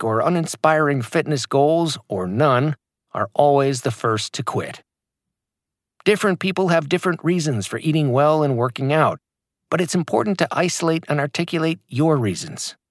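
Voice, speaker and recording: A man reads his own fitness book aloud in a motivational, emphatic way. His pitch moves a lot across the lines. The recording is dry and close, with no room sound. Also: no room sound. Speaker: author reading his own audiobook. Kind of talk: scripted audiobook narration.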